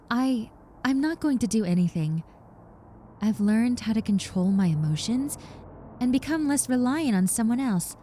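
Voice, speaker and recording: Audio roleplay: reformed obsessive young woman, played by an actress, gentle dark-soft tone, slightly high, hesitant, sincere, eager to reassure. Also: a little hiss or hum, close to an everyday voice. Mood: earnest, reassuring. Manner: hesitant, earnest, stammering and reassuring. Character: nervous, apologetic young woman, reformed obsessive yandere roommate. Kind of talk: roleplay audio drama, conversational monologue to the listener.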